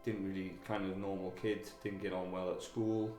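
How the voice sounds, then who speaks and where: A man from Wales, a guest telling his own story in a candid interview, halting, with many fillers and restarts. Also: low voice, flat delivery, dark tone. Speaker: guest, army veteran. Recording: echoey room with music behind.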